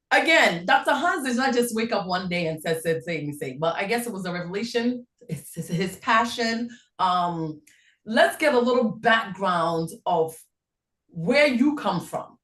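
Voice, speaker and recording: A woman's voice: mid-range voice, fairly bright tone, reverberant. A woman hosting a live-streamed interview over a video call, asking long, rambling questions full of fillers. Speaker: interview host.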